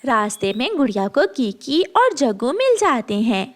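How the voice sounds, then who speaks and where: A woman's voice: on the high side, very animated, moderate pace. A woman narrating a scripted children's story as the narrator.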